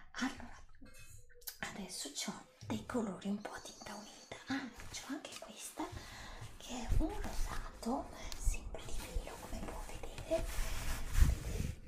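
Slowly whispering woman, ASMR shop roleplay. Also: on the high side, very animated, roomy sound. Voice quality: breathy whisper. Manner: gentle, attentive sales patter to an imagined customer. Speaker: ASMR creator playing a clothing shop assistant.

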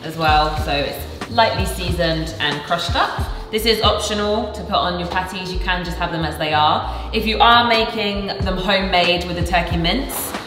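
Young woman, low-pitched, chatty cooking demo; roomy kitchen, music under. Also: normal speed. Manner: chatty, playful, laughs often. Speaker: co-host of a cooking video. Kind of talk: casual cooking demonstration. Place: large indoor kitchen studio. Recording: echoey room with music behind.

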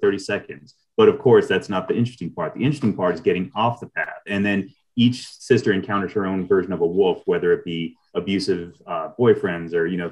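A middle-aged man with a low voice answers as a guest writer in an interview over a video call. He is reflective and explanatory, letting his sentences wander as he thinks things through. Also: a little mellow, rough recording.

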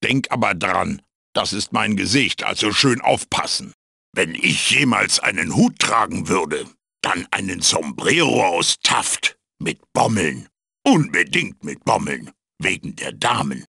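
A magical talking mask, the wise but fussy mentor of a cartoonish video game. The voice is bright and gravelly, swinging very widely in pitch. Every phrase is theatrical and emphatic, recorded close and dry. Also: male voice, very animated, a little slow, dry recording, heavily acted. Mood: wry. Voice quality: raspy, gravelly. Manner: theatrical, emphatic, swooping delivery. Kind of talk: German video game voice acting, tutorial hints and quips.

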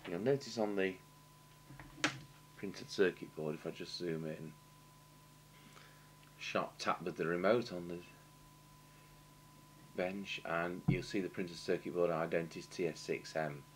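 A British man giving a halting, matter-of-fact technical demonstration, thinking aloud as he works at a workshop bench. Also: low-pitched, fairly even intonation. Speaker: radio repair technician demonstrating. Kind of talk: unscripted technical demonstration commentary. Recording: clear background noise and hum.

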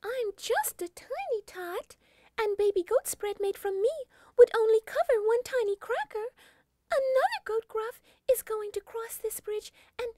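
A woman voicing a tiny, frightened male baby goat who pleads in a squeaky voice. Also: animated, unhurried, mellow, no room sound. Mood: pleading. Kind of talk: storybook reading.

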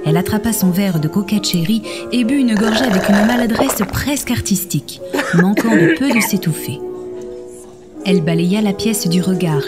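A woman narrates scripted fiction for an audio drama. Her pitch is average for a woman. Music plays clearly beneath her reading. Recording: music under the voice.

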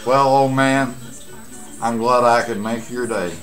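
A man in his late fifties chatting casually and haltingly to a webcam at home, with rambling asides. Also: mid-range voice, steady tone, faint music behind. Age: late 50s. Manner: halting, pausing delivery with asides. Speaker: amateur vlogger and CB radio hobbyist. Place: small cluttered room at home. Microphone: webcam setup at desk distance.

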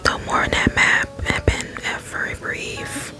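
A woman whispering the slow, drawn-out verses of a folksy male frog boatman from a video game, ASMR style. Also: over music, natural voice. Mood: wistful, easygoing. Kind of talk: ASMR read-out of game dialogue.

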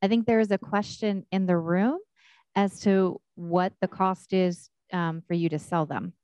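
A woman's voice: lowish voice, steady tone, measured pace, darkish tone, clean tone, dry recording. A woman moderating a question session, polite and procedural. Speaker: event moderator. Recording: dull, dry lo-fi audio.

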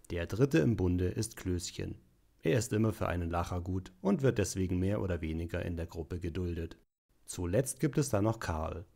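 A man narrating a parody audio play in a dry, deadpan storyteller style. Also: deep voice, vocal fry.

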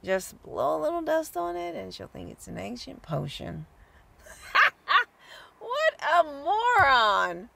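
A woman plays a greedy con-artist psychic woman in an audio drama, sweet on the surface and smug underneath. Her voice creaks and swings widely in pitch over slow, knowing pauses, with faint noise behind it. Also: sing-song, sharp tone, heavily acted. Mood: gloating, contemptuous. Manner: syrupy, drawn-out delivery full of knowing pauses. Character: scheming fake psychic woman, two-faced, greedy, smugly sweet.

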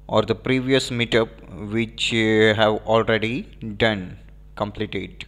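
A man with an Indian English accent giving a screen-recorded software walkthrough, pausing often with fillers. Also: mid-range voice, clear, resonant tone. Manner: hesitant, frequent filler pauses. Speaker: software demo presenter.

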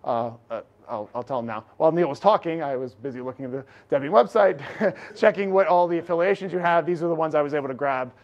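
A middle-aged man giving a conference talk from slides in a large lecture hall, projecting loudly and energetically. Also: on the high side, fast talker, muffled recording. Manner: energetic, loud, projecting without amplification. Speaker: conference speaker. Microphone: room recording mic, no lapel.

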